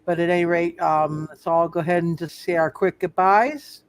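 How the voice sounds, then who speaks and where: Male game master, high-pitched voice, casual, halting, repeats phrases. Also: fairly even intonation, clean tone, no echo. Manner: halting, repetitive, circling back. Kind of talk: casual sign-off talk on live roleplay show.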